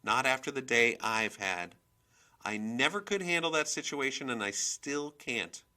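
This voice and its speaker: A man voicing a volatile, domineering mother in a storybook reading, with a sharp, scolding, emphatic manner. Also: mid-range voice, animated, unhurried, voice pushed up. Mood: brash, defiant.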